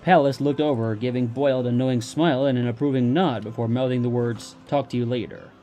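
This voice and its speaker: A man's voice: medium pitch, fairly even intonation, a little fast, mellow, faint music behind. A man reading a scripted story as its narrator.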